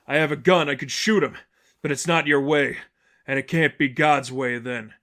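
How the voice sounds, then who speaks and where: A man's voice: a little high, fairly even intonation, moderate pace, sharp tone. A man playing an earnest, battle-strained male cult defector in an amateur story reading. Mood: urgent, earnest. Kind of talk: audiobook-style character acting.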